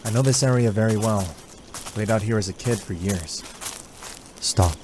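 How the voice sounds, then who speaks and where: A man's voice: on the low side, moderate pace, darkish tone, lightly acted. A man playing an alert young scout in a fantasy audio drama, speaking in clipped, urgent phrases. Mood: wary. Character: alert young male scout who knows the woods. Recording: noisy ambience around the voice.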